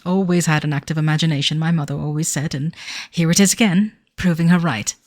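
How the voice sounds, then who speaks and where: A woman's voice: low voice, no room sound, clean studio sound, natural voice. A woman playing a devoted but uneasy young bride-to-be of the 1930s, reading her diary in a formal, wistful manner for an audio drama. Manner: formal, wistful, measured period diction.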